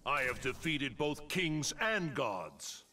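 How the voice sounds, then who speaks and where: An actor playing a grave, dignified thunder god warrior in a fighting game, speaking in a formal, portentous manner. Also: male voice, medium pitch, sing-song, slow pace, pushed and bright, slight background noise, full character voice. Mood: proud, grave. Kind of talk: fighting game character dialogue.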